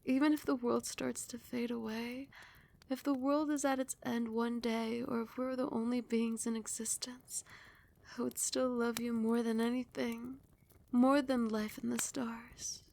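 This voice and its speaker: A woman voicing a loving, protective vampire queen in an intimate ASMR roleplay, breathy and often sinking into a whisper, soothing and doting. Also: on the high side, flat delivery, a little slow, a little mellow. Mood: tender, devoted. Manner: doting, soothing, affectionate pet names.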